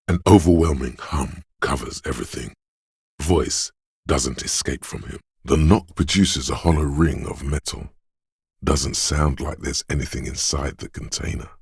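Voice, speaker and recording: A man narrating a video game as a grave inner voice of the senses, measured, deliberate and ominous. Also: very deep voice, breathy edge, dry recording, clean studio sound, exaggerated voice. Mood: measured, eerie. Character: solemn male inner voice of heightened senses, ominous and watchful. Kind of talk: atmospheric second-person scripted narration.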